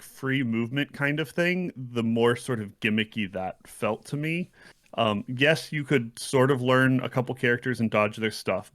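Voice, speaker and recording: A man co-hosting a gaming podcast, talking casually and analytically in a measured, reflective manner. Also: medium pitch, fairly bright tone. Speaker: podcast co-host. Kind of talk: casual analytical game discussion.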